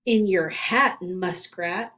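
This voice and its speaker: A woman's voice: fairly low pitch, takes it slowly, fairly bright tone, recorded from a distance, muffled recording, slight background noise. A woman voicing a little schoolgirl in a storybook, insecure and pleading yet defiantly bold, with plaintive, emphatic delivery.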